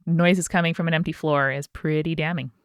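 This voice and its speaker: A woman with a fairly low voice talks as a podcast co-host. Her delivery is casual and conversational. The recording is dry and free of echo. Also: steady tone, fairly quick, clean tone. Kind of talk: casual chat.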